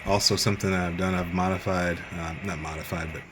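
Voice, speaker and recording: A man's voice: low-pitched, steady tone, quick pace, background noise. A man giving a casual, rambling, unscripted hands-on radio gear review in a matter-of-fact way. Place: radio bench, indoors.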